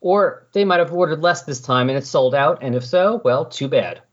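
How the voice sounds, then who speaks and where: A middle-aged man with a slightly husky voice hosting a casual comic book discussion in a chatty, explanatory way. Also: on the high side, expressive, moderate pace. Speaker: podcast host. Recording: dull lo-fi voice recording.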